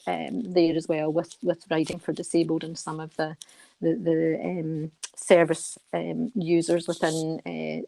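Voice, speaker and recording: A woman with a lowish voice talks as an interview guest. Her intonation stays quite flat and her delivery is halting, with repeated words, though earnest. The sound is close and dry. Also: no echo. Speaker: interview guest, charity trustee and volunteer riding coach. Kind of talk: informal radio interview.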